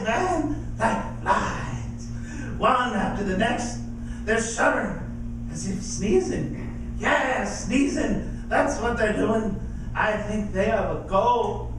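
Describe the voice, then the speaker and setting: An agitated, streetwise male reporter in a radio play, high-pitched and exclaiming over and over. The voice rings from far off in a very echoey hall with music behind. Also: full character voice. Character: excitable, streetwise New Jersey field reporter. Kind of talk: live radio drama acting.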